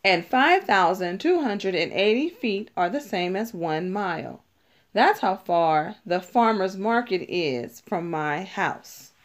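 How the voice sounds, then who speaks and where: A woman reading a children's picture book aloud, deliberately, stressing key words as she teaches. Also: medium pitch, lively intonation. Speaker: read-aloud narrator.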